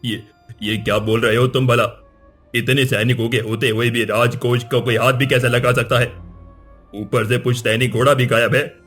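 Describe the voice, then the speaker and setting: A man playing a stern older king in a cartoon story, speaking gravely and formally. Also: mid-range voice, little pitch movement, moderate pace, fairly bright tone, soft background music. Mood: shocked. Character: stern, deep-voiced older king, prince's father.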